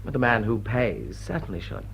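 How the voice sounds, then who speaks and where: An actor playing a dryly witty, assured English gentleman in a period comedy, speaking with mock formality. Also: lowish voice, expressive, normal speed, gravelly, husky, heavily acted, low-quality recording. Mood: brisk, ironic. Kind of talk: period stage comedy radio acting.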